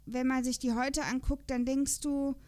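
A woman's voice: sits high, level tone, normal speed, a little mellow, clear, resonant tone. A woman podcast host chatting casually in a talkative, rambling way. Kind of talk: casual chat.

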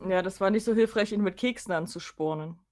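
A woman's voice: mid-range voice, a little fast, darkish tone. A woman with a German accent co-hosting a podcast, talking in an informal, conversational way. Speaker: podcast co-host. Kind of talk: casual podcast chat.

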